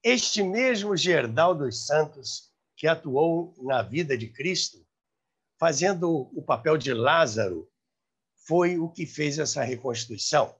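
A man in his seventies with a Brazilian accent, hosting and telling radio history to camera with emphatic, expressive delivery. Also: fairly high pitch, slow pace, slightly rough. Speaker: host. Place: room by a large window, indoors. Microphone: lapel mic.

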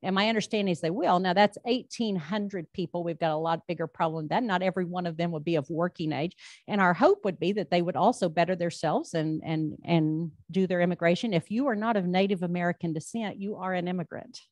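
Low-voiced woman at a podium. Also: brisk, a little mellow, no echo. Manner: folksy, emphatic, self-deprecating. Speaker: state labor commissioner speaking to a club. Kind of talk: public speech with audience questions. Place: meeting room, standing at a podium. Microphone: handheld microphone.

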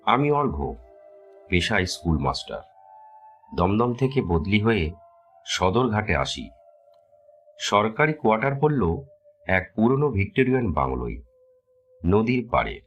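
A man telling a scripted horror story as a dramatic narrator, with measured, suspenseful delivery. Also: on the low side, big pitch swings. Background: music.

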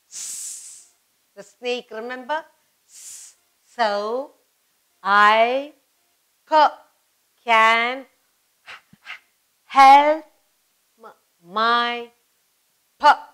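Children's teacher: middle-aged Indian-accented woman, slightly high voice, very measured pace, emphatic and repetitive. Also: fairly bright tone. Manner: didactic, repetitive, emphatic on key words. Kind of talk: children's lesson at a whiteboard. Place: indoor studio in front of a whiteboard.

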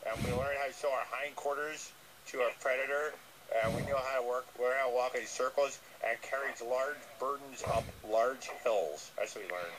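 A man's voice: mid-range voice, normal speed, close to an everyday voice. Man playing a nervous rambling llama, noisy recording. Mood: earnest, nervous. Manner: hesitant, rambling, repeats himself. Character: nervous, earnest, dim-witted male llama. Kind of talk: improvised comic radio phone call. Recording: noisy re-recording of radio playback.

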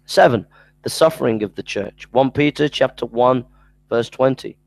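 A man's voice: mid-range voice, moderate pace, gravelly, no room sound, poor audio. A middle-aged man giving an earnest Bible lecture from a small room at home, speaking into a headset mic and often repeating himself. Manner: earnest, repeats scripture references, stumbles and restarts.

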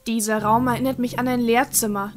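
A woman's voice: on the high side, steady tone, a little fast, natural voice. A woman playing an earnest, sensible, educated adventurer in an audio drama. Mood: earnest, focused. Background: music.

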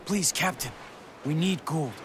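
An actor playing an outspoken, pragmatic soldier in a video game, arguing emphatically. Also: male voice, a little high, measured pace, a little hiss or hum, natural voice. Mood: urgent insistence. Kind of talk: dramatic video game acting.

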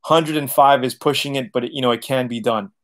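A man's voice: medium pitch, fairly even intonation, a little fast, fairly bright tone, a little hiss or hum. Chatty man in his thirties, webcam livestream. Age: 30s. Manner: loose, chatty, laughs often, swears casually. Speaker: auto body channel host. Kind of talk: casual livestream Q&A reading chat. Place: living room, seated on leather couch.

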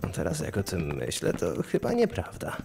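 Man as a lazy, lively-pitched hard-drinking guard. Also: normal speed, vocal fry, music in the background, full character voice. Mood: lazy, carefree. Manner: casual, sighing, colloquial.

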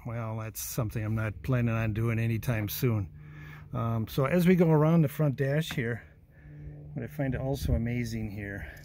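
A mellow, plain-spoken man films a car walkaround on his handheld phone, talking at an easy pace with frequent ums and uhs. His voice often trails off quietly, and faint ambient noise sits behind him. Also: mid-range voice. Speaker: vintage car restoration vlogger. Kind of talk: casual walkaround narration behind a handheld camera. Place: old car in a garage and driveway. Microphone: phone camera held in hand.

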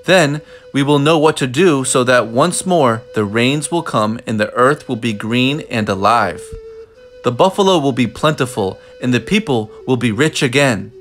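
A man's voice: mid-range voice, expressive, moderate pace, a bit bright, lightly acted. Man reading as a lonely little orphan girl in a storybook, tender and earnest, music under. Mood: hopeful.

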